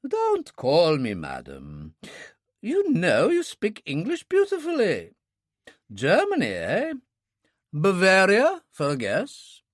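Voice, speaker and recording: A man reading an audiobook, voicing a spry elderly woman, a former stage star, with a brisk, teasing, sharp-tongued manner. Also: high voice, huge pitch swings, no room sound, clean studio sound, voice pushed up, full character voice. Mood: warm chatty.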